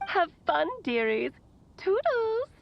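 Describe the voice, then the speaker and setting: Playful witch mage, video game, doting and theatrical, lively swooping pitch, gravelly creak, slightly muffled recording. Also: slow, exaggerated voice. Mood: playful, breezy.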